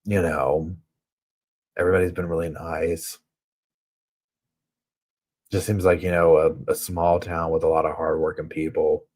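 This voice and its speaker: A man's voice: rumbling low, little pitch movement, unhurried, long pauses, a little mellow, slightly rough. A man with a Southern US accent hosting a casual true crime live chat from a hotel room, rambling and reading viewer comments aloud. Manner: rambling, chatty, heavy on filler words. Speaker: true crime channel host.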